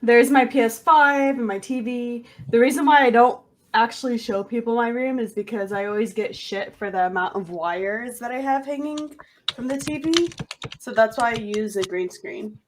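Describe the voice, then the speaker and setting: A woman's voice: a little high, normal speed. A woman in her twenties chatting casually as a streamer, blunt and informal. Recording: clear background noise, clicks.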